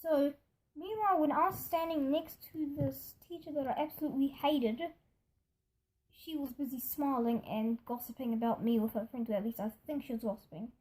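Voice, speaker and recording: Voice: sits high, normal speed, darkish tone, rough recording. A young person telling stories in a casual vlog style, rambling and indignant, acting out what other people said. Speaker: video storyteller. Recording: music behind the voice. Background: music.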